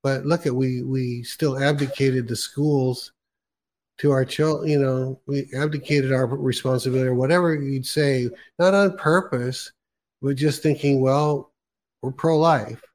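A man's voice: mid-range voice, steady tone, unhurried, darkish tone. A man co-hosting a casual chat, agreeing often and adding his own thoughts. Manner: agreeable, backchannels a lot. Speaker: co-host.

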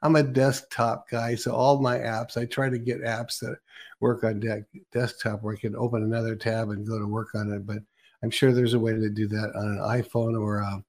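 A man's voice: on the low side, level tone, moderate pace, a little mellow. A man hosting a live broadcast, talking casually with many restarts and fillers. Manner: rambling, hesitant with many restarts. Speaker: show host.

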